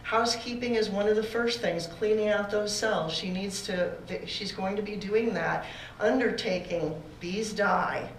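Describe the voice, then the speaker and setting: A woman presenting a beginner beekeeping class to a room, loud-voiced and talking in a halting way with fillers and restarts. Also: mid-range voice, a little hiss or hum. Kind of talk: classroom lecture with slides. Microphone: far room recording, no mic for a while. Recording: echoey room from a distance.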